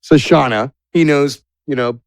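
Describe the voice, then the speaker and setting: A man's voice: medium pitch, unhurried, vocal fry, no room sound. A man narrating a tabletop roleplaying game as game master in a casual, playful, improvised way.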